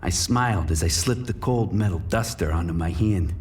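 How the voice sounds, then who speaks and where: A man's voice: low-pitched, normal speed, darkish tone, slight background noise, close to an everyday voice. An actor playing a bitter, menacing drug dealer who narrates his crime drama with cynical, profane threat. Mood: brooding, cynical. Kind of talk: noir first-person scripted narration and dialogue.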